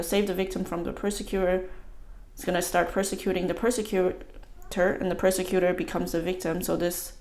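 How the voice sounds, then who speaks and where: A woman from the United States hosting her own channel, talking casually and thinking aloud in a halting, musing way while she doodles. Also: on the low side, darkish tone. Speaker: channel host.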